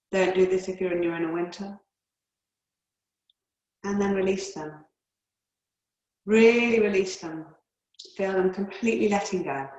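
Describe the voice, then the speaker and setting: A woman with a mid-pitched voice guides breathing and relaxation as a yoga teacher. She speaks calmly and soothingly, leaving long unhurried pauses. The sound is roomy, with clear echo around her words. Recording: roomy, echoey indoor sound.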